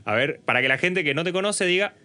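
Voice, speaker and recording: A man's voice: on the high side, lively intonation, fast talker, pushed and bright. A man with an Argentine Spanish accent, hosting and interviewing in a casual podcast conversation. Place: home studio.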